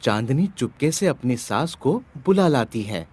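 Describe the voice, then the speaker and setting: A man's voice: medium pitch, very animated, measured pace, darkish tone, husky. A man narrating a scripted story as the storyteller.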